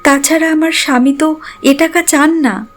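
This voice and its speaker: A woman's voice: high voice, steady tone, moderate pace, voice pushed up, lightly acted. A woman voicing a proud, hurt young married daughter in an audio story, earnest and defiant. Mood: indignant. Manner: earnest, emotional.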